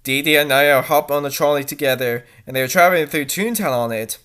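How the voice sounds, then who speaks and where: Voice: medium pitch, fairly quick, a bit bright, clear, resonant tone. A host giving a mocking dramatic reading of fan fiction, with sarcastic, exaggerated delivery and commentary.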